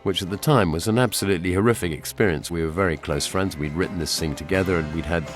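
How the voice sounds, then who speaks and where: A man's voice: sits low, fast talker, music in the background. A British man presenting a documentary, with narration and personal reminiscence.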